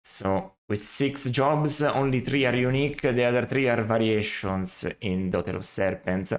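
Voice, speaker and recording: Male streamer, mid-pitched, casual running commentary, reflective and a bit critical. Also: unhurried. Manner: musing, self-correcting, critical asides. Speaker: streamer playing a retro game.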